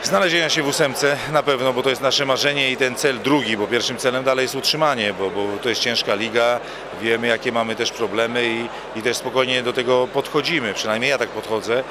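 A man's voice: mid-range voice, fairly even intonation, a little fast, crowd noise. A man in his forties, a football coach, answering interview questions at an evening gala into a handheld mic, often repeating words as he starts a phrase. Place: hotel bar at an evening gala, indoors. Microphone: handheld mic held by interviewer.

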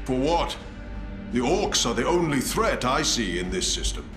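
An actor playing a stern, resolute space marine commander in a video game, speaking solemnly and formally. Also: male voice, mid-range voice, big pitch swings, a little slow, roomy sound, over loud music. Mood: grave, firm. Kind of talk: dramatic video game acting.

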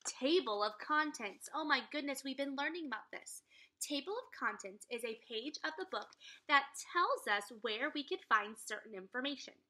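A woman's voice: high-pitched, normal speed, a little hiss or hum. A young woman teacher in her twenties reading a chapter book aloud to children at home, stopping to explain words. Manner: animated storytelling, explaining words as she reads. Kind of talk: read-aloud lesson for children. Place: living room at home. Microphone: laptop webcam at close range.

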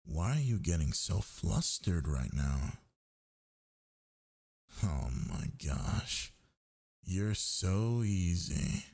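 A man playing a sleepy, needy gamer boyfriend in an intimate audio roleplay, coaxing in soft, breathy murmurs. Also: sits low, sing-song, full character voice. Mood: teasing, tender. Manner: coaxing, murmuring, drawn-out words.

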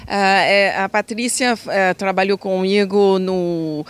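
A middle-aged woman with a French accent, talking into a handheld mic on a garden patio. She pauses often and hunts for the right word, her tone measured and mid-pitched. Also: steady tone, clear, resonant tone. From France. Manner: hesitant, searching for words. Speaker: interior designer. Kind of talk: casual interview chat.

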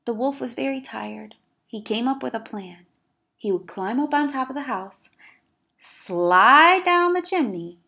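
A woman with a fairly high voice narrates a children's picture book. She reads like a teacher, expressively, placing careful emphasis on words for young listeners. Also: gravelly, pushed and bright. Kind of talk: children's read-aloud narration.

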